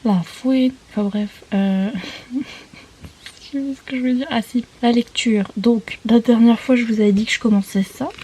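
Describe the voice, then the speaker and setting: A woman's voice: on the high side, fairly even intonation, measured pace, warm tone, a little hiss or hum. A woman chatting casually as a book vlogger, rambling off on tangents and poking fun at herself. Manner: self-mocking, jokey, digressive. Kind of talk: casual rambling vlog chat.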